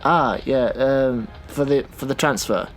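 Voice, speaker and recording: A man playing a thoughtful, sceptical taxi driver in a naturalistic audio drama, talking in a casual, halting way. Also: mid-range voice, faint music behind, natural voice. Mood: casual, awkward.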